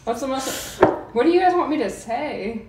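A woman's voice: sits high, expressive, normal speed. A young adult woman cosplayer chatting casually on a livestream, laughing a lot. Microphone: phone livestream. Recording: echoey room, clear background noise.

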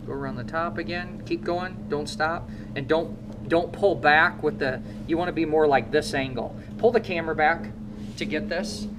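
A man's voice: fairly high pitch, normal speed, noisy background. A man in his thirties with a Midwestern American accent, teaching motorcycle repair hands-on and quizzing his students as he works. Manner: coaching, asks students questions. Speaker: motorcycle technician instructor. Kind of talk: hands-on shop demonstration.

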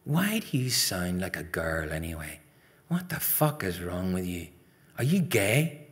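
Guy doing a nasty northern irish older brother, lowish lad's voice, sneering, taunting, storytelling. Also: sing-song, measured pace. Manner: harsh, taunting.

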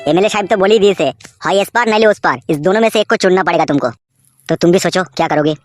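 A man's voice: high-pitched, rapid-fire, soft background music, lightly acted. A man voicing a brash young henchman nephew in an amateur comedy cartoon, lecturing and insistent. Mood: pushy.

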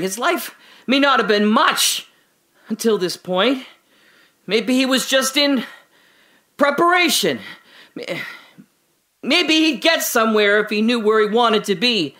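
A sardonic prisoner, rambling monologue, bright forceful tone, radio drama. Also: male voice, sits high, full character voice. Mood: brooding. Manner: swings from hushed musing to indignant shouting. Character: rambling detained man, bitter and sardonic. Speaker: voice actor.

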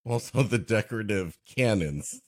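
A man's voice: fairly low pitch, expressive, normal speed. A man co-hosting a comedy podcast, breaking into the story with sarcastic, mock-pedantic banter. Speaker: podcast co-host who interrupts the story. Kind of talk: comic banter.

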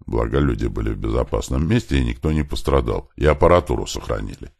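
A man's voice: extremely low pitch, expressive, fast talker, vocal fry, a little mellow, no room sound, clean studio sound, full character voice. A man voicing an earnest male professor in an audiobook, explaining things politely and with emphasis. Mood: apologetic reporting.

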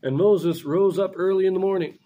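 A middle-aged man giving an informal religious lesson as a scripture commentator, explaining things deliberately and correcting himself as he goes. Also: high voice, steady tone, mellow. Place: small indoor room in front of a blackboard.

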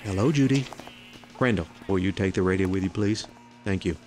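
A man's voice: sits low, brisk, music in the background, close to an everyday voice. A man playing a businesslike psychiatric hospital doctor in an audio drama. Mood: brisk, professional.

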